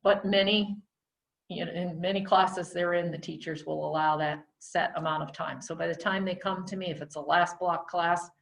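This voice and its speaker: A middle-aged woman teacher talking loudly and chattily through a face mask, walking parents around her small classroom on a handheld camera. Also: fairly low pitch, fairly even intonation, normal speed, creaky. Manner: loud, chatty, rambling.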